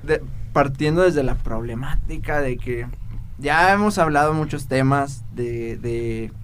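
A man's voice: medium pitch, unhurried, fairly bright tone, slight background noise. A man in his twenties hosting a casual podcast and steering the talk. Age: 20s. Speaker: podcast host.

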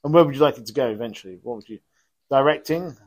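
A man's voice: mid-range voice, quick pace, no room sound. Chatty male podcast host, dry mic. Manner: chatty, rambling, thinks aloud. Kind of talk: casual podcast interview.

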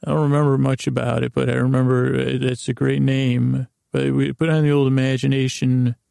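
A man hosting a bedtime podcast with a gravelly voice, rambling through a whimsical, meandering, improvised monologue to help listeners sleep. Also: medium pitch, little pitch movement, fairly quick, warm tone, dry recording, clean studio sound. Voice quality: very creaky, gravelly voice. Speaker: host of a bedtime story podcast.

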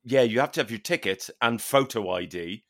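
A man's voice: medium pitch, animated, fairly quick, fairly bright tone. A man co-hosting a podcast, teasing dryly in casual comic chat. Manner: dry, teasing, playful. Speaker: podcast co-host.

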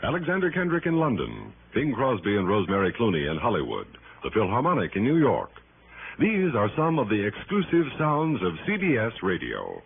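A narrow, faintly noisy old broadcast carries a male radio announcer. His lowish voice sweeps very widely in pitch, with polished, emphatic promo phrasing from the 1960s. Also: huge pitch swings, brisk, vocal fry. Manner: polished, emphatic list-like delivery.

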